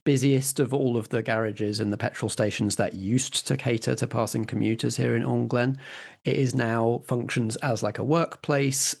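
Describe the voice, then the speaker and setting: A man's voice: medium pitch, darkish tone. A man running a tabletop roleplaying game, narrating scenes and putting questions to players. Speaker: game master.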